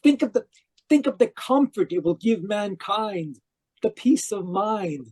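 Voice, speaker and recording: A man's voice: high voice, animated, unhurried, a little mellow, breathy edge, heavily acted. A man playing an obsessed, desperate zealot in an old-time radio mystery, fervent, pleading and intense. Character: obsessed, desperate zealot younger brother turned killer. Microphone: home microphone on a video call.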